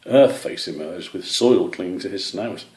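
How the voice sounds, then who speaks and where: A man's voice: deep voice, lively intonation, normal speed, darkish tone, reverberant. A man reading a scripted children's animal story as its narrator. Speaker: author or story reader.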